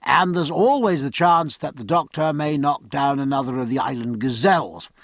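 A man's voice: mid-range voice, moderate pace, no room sound, clean studio sound, close to an everyday voice. A man voicing a bold, commanding sea captain in an audiobook, speaking in hearty, emphatic speeches to his crew. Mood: firm, resolute. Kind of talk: audiobook character acting.

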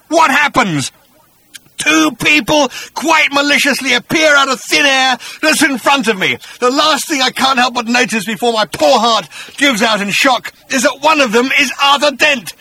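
A man voicing a vengeful, mad, fat male bat creature in an audiobook, rasping and screeching as he shouts accusations. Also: very high-pitched, a little slow, voice pushed up, heavily acted, poor audio. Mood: ranting. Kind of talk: audiobook character voice.

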